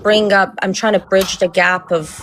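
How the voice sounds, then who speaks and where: A woman's voice: medium pitch, flat delivery, moderate pace, fairly bright tone, slight background noise, rough recording. A woman born and raised in Iran, arguing firmly and defensively as a guest in a heated live stream debate. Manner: firm, defensive.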